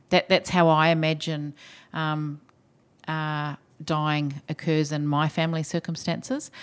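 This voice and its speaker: A woman with a New Zealand accent and a low voice talks as a podcast guest. She speaks in a thoughtful, measured way and often pauses with ums as she works through her points. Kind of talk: podcast interview.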